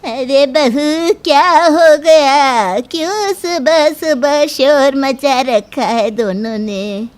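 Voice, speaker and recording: A woman's voice: high-pitched, a little mellow, faint music behind. A woman playing an older mother-in-law in a cartoon moral story, firm and reasoning as she admonishes. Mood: exasperated. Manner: admonishing, measured, lecturing.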